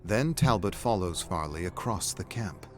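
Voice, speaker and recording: A man narrates a dramatic scripted story in a deep voice. Faint music plays underneath his reading. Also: animated, normal speed.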